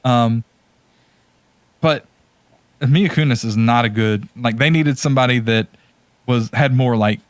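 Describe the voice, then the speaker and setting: A man's voice: mid-range voice, a little slow, long pauses, no echo. A man co-hosting a podcast, chatting casually and giving opinions in a blunt, informal, tongue-in-cheek way. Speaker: podcast co-host.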